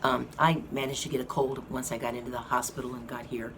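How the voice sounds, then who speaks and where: Hoarse woman, very deep voice, slow halting talk, fan hum behind. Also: level tone. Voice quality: slow, halting speech with many fillers. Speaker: vlogger giving a health update. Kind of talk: casual update to viewers. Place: hospital rehab room with an air conditioning fan. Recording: noisy room with fan hum. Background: air conditioning fan.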